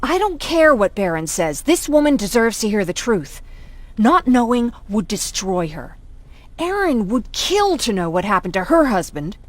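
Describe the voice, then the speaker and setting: A woman's voice: a little high, big pitch swings, normal speed, dry recording. An actress playing a compassionate, principled woman resistance fighter in a video game, with a sincere, emotive delivery. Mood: insistent. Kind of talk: video game dramatic acting.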